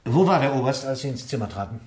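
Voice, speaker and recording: A man's voice: mid-range voice, lively intonation, quick pace, a little mellow, a little hiss or hum, natural voice. An actor in a German radio crime drama playing a male police inspector, formal, probing and dryly insistent. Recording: echoey room sound.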